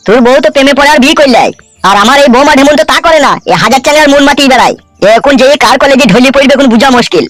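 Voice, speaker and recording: A man's voice: extremely high pitch, racing, a bit bright, dry recording, faint music behind. A man voicing an older village uncle in a comedy cartoon, gossipy, commiserating and grumbling. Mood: exasperated.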